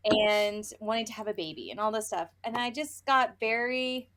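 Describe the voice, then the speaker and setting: A woman with a fairly high voice talks as a podcast co-host in a loose, casual book chat. She is blunt and easily exasperated, and drops swear words freely. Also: moderate pace, fairly bright tone. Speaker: podcast co-host reviewing romance books.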